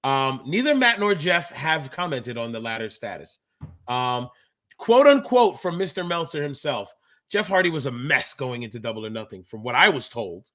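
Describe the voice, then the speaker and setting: Bright, forceful man in his thirties. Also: mid-range voice, big pitch swings, moderate pace. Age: 30s. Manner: informal, slangy, talks like a wrestling insider. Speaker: co-host of a pro wrestling podcast; active pro wrestler. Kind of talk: casual podcast chat and commentary.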